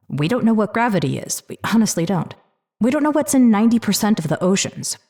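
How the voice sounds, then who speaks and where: A woman's voice: mid-range voice, a little mellow. A woman playing an open-minded, diplomatic scientist advisor in a sci-fi audio drama, explaining things earnestly.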